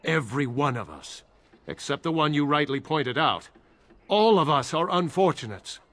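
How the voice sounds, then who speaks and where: A man's voice: on the high side, very animated, moderate pace, a bit bright. A man acting as an ingratiating street beggar in a video game, pleading obsequiously and playing up his hardship. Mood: wheedling.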